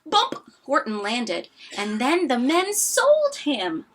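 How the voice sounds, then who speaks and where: A woman's voice: sits high, huge pitch swings, slow pace, a little hiss or hum. A woman reading a rhyming children's story aloud expressively and dramatically, with chatty asides.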